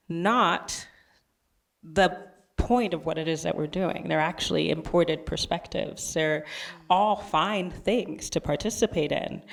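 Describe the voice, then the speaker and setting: A woman from Zambia and the United States speaking earnestly as a panelist, reflecting on her experience to an audience. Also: on the low side.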